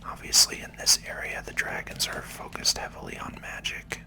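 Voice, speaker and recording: A person giving whispered, casual ASMR commentary while hosting a video game playthrough, explaining things as they go. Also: moderate pace. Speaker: host, gaming video creator.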